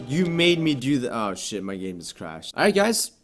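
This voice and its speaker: Man, gaming youtuber, casual commentary, excitable, profane, jokey, mid-pitched voice. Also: normal speed, faint music behind. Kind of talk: casual gameplay commentary and channel talk.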